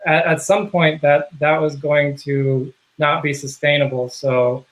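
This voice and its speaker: Male interview guest, slightly raised pitch, halting casual delivery over a video call. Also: little pitch movement, poor audio. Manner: halting, many fillers and restarts. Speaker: guest, software project contributor.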